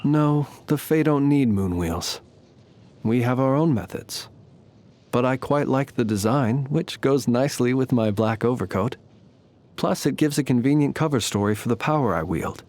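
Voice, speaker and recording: Man playing a courtly, eloquent, ancient fae gentleman. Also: mid-range voice, close to an everyday voice. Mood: reflective. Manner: formal, eloquent, measured, theatrical phrasing. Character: courtly nocturnal fae lantern keeper, ancient gentleman, calm and wry. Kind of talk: scripted video game character voice lines.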